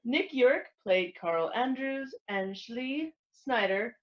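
A woman playing a genteel, brisk librarian who hosts radio mysteries, polite and theatrical. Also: fairly high pitch, expressive, background noise. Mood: formal. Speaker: host narrator. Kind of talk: vintage radio mystery hosting. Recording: dull lo-fi recording under music.

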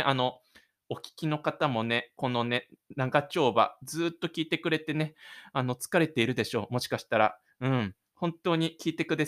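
Guy hosting his own little audio drama show, gentle chatty talk, storyteller vibe, medium pitch. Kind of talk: audio drama narration and casual host chat.

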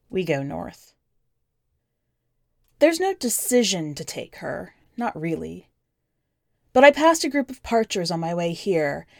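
A woman reading scripted first-person fiction as a podcast narrator, with measured, deliberate phrasing. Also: on the low side, a little mellow, dry recording, studio quality. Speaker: podcast host and story narrator.

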